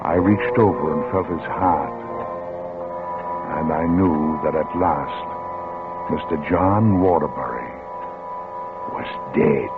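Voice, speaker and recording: An actor playing a timid middle-aged clerk who has become a killer, narrating and stammering nervously in a 1950s radio drama. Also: male voice, a bass voice, slow pace, pauses often. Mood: brooding. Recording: tinny old radio broadcast with music.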